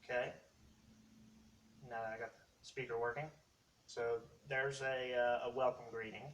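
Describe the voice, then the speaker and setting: A man casually demonstrating hobby gear as a video host, explaining in a halting, rambling way. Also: medium pitch, flat delivery, fairly bright tone. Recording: distant mic, faint background noise.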